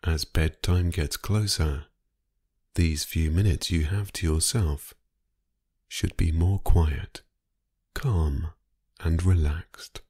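Male sleep-meditation narrator, low-pitched and slightly husky, soothing and hypnotic, unhurried phrasing. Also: dry recording, clean studio sound. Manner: calm, soothing, unhurried, with long pauses.

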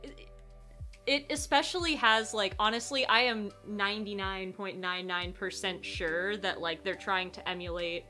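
A woman's voice: mid-range voice, moderate pace, sharp tone, faint music behind. A woman chatting casually as a podcast guest, informal, slangy and given to swearing. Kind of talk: casual chat, fan discussion.

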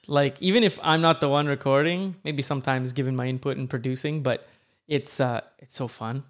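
A man's voice: medium pitch, fairly even intonation, fairly quick. A man talking as a podcast guest in casual chat, emphatic and upbeat, swearing freely.

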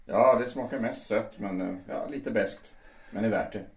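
An actor playing a calm, quietly scheming male understudy with a measured, coaxing way of talking, in an audio drama. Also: lowish voice, close to an everyday voice. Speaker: understudy Urban. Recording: echoey room.

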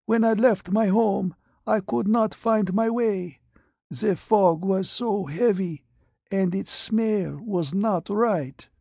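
A man's voice: high voice, gravelly, dark tone, husky, no room sound, studio quality, pitched above the performer's own voice, full character voice. A man voicing a withered, whispering patient. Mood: weak, dazed. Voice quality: cracked whisper. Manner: weak, halting. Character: frail withered young man aged like an ancient.

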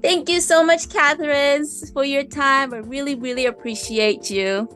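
A woman podcast host with a slight Japanese accent, a native Japanese speaker, talking in a chatty, expressive way. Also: high voice, moderate pace, faint music behind. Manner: warm, chatty, expressive with fillers and laughs. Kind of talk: casual podcast interview.

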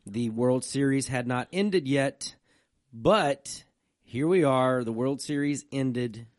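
A man co-hosting a casual podcast in a live stream room, joking and telling quick stories. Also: medium pitch, darkish tone, dry recording, studio quality. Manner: jokey, storytelling, quick asides. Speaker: podcast co-host.

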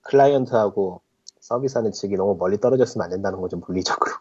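A man's voice: on the low side, very fast, a little mellow, slightly rough, no echo. A man in his thirties on a casual podcast, making wry, philosophical remarks. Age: 30s. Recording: lo-fi audio.

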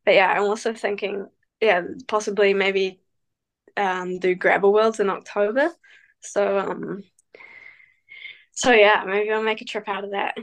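A woman, speaking as a guest on a casual interview. Her pitch sits mid-range. She answers in a relaxed but halting way, full of fillers and restarts. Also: poor audio. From New Zealand. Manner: hesitant, many fillers, relaxed. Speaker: guest, professional cyclist.

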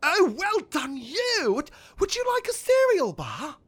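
A woman's voice: very high-pitched, huge pitch swings, measured pace, a bit bright, heavily acted. A woman playing a cheerful, overly polite leader of a charity cycling club who talks in a gushing, apologetic way. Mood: eager.

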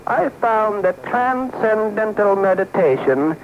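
A man's voice: high voice, expressive, heavily acted. A man voicing a serene, pompous, easily affronted guru in a comic radio phone-in, solemn and preachy. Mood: solemn, lofty. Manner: slow, solemn, preachy delivery. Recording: dull lo-fi radio aircheck with hiss.

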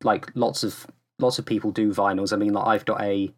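A man's voice: fairly low pitch, level tone, brisk. A man guesting on a podcast, explaining things in a loose, rambling, casual way. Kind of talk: casual chat.

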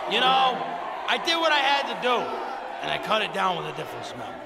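An actor playing a crude, boastful uncle in a comic audio drama, bombastic and rambling. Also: male voice, sits high, very animated, a little fast, gravelly, shouting, over a noisy crowd, exaggerated voice. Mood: rambling, defensive. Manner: foul-mouthed, bombastic, rambling. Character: crude, boastful, smelly uncle in theater troupe. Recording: echoey hall with audience noise.

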